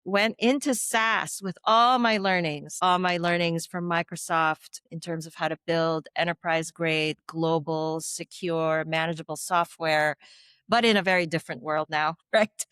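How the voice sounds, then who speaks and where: A woman's voice: on the low side, fairly even intonation, a little slow, a bit bright, clean tone, no room sound, clean studio sound. A middle-aged woman from the Philippines, a former tech executive giving articulate, reflective interview answers with the odd light laugh.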